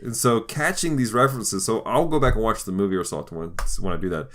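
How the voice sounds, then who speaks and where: A man hosting a podcast in casual chat, enthusiastic, often halting as he searches for his words. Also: on the low side, animated, fairly bright tone, slight background noise. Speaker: podcast host.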